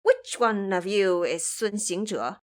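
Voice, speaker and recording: A woman's voice: mid-range voice, animated, takes it slowly. A woman voicing a proud, hot-tempered old male monster king in an audio drama, haughty and indignant. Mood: mocking.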